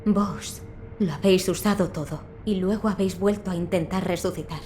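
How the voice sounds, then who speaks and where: Mellow, composed physician played by a woman. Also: lowish voice, faint music behind, natural voice. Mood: focused, clinical. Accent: Castilian Spanish. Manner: formal, measured, courteous. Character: composed, dutiful female physician in feudal Japan. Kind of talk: video game voice acting.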